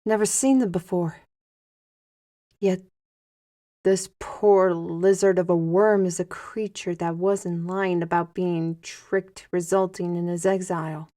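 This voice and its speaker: A commanding woman leading adventurers played by an actress in a fantasy audio drama. She speaks slowly and steadily in a mid-range voice, recorded close and dry. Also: on the low side, fairly even intonation, warm tone, no echo. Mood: solemn, encouraging.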